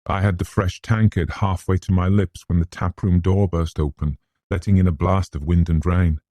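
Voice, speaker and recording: A man with a British accent narrating a gothic story in a scholarly, measured, formal manner, like a classic audiobook. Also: low-pitched, steady tone, warm tone, no room sound, studio quality.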